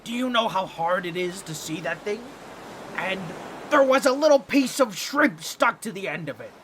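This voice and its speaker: A man voicing an excitable, boastful talking octopus in a comedic audio roleplay, with a nasal, squawky voice and a fussy, theatrical, pompous way of talking to the listener. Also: high voice, normal speed, background noise. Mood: indignant, scolding.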